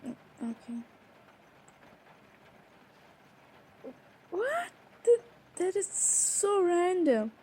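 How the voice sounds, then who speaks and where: A woman's voice: very high-pitched, very animated, slow pace, a little mellow, heavily acted. A woman playing a shy, flustered young woman in an improvised intimate romantic audio roleplay, stammering and hesitating as she talks. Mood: disbelieving, reluctant. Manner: hesitant, stammering, breaking off and repeating words.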